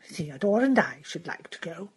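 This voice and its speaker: A woman's voice: fairly low pitch, big pitch swings, moderate pace, breathy edge, exaggerated voice. A woman voicing a prim, pious older woman who speaks in a preachy, disapproving way.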